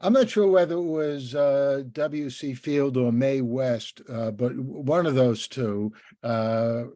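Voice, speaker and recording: A man's voice: medium pitch, slow pace, warm tone, no echo. An older man conducting a conversational interview, rambling and hesitant as he repeats words and muses.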